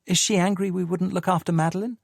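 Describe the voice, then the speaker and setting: A man's voice: sits high, fairly even intonation, quick pace, vocal fry, no echo, clean studio sound, voice pushed up. A man doing an anxious, pleading woman. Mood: worried. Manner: pleading, agitated.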